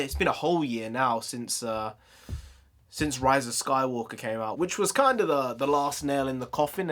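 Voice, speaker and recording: A man ranting casually in an opinion vlog, his voice going hoarse, with lively swings in his delivery. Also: medium pitch. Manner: chatty, ranting, animated sign-off. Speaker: YouTube vlogger.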